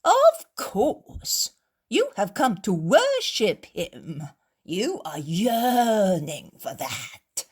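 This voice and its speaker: A woman reading a story aloud, voicing a robust male innkeeper who shouts and threatens with scorn. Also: mid-range voice, huge pitch swings, very slow, slightly rough, pitched above the performer's own voice, exaggerated voice. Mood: mocking. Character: robust burly male innkeeper, fierce and mocking.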